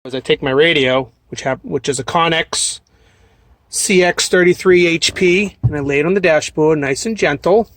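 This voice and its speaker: A man's voice: fairly high pitch, normal speed, fairly bright tone. A man, a trucker hosting a casual, plain-spoken step-by-step demonstration. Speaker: trucker and vlog host. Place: inside a truck cab. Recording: noisy truck cab with clicks.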